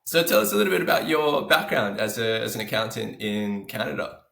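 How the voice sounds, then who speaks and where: An Australian man hosting a finance podcast, reading a scripted intro and then chatting in a friendly, relaxed way. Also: fairly low pitch, a little fast, gravelly. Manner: friendly, relaxed, with fillers and restarts when off script. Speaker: podcast host and financial advisor. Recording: roomy echo.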